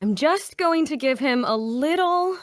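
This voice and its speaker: A brisk female healer in a fantasy adventure, acted by a woman at a table read. Her voice is on the high side and recorded dry. She is matter-of-fact and reassuring. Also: animated, no echo.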